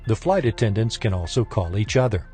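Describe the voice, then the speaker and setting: A man reading a scripted technical training lesson in a formal, measured, instructional manner. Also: on the low side, lively intonation, a little mellow, soft background music. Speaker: instructor narrator.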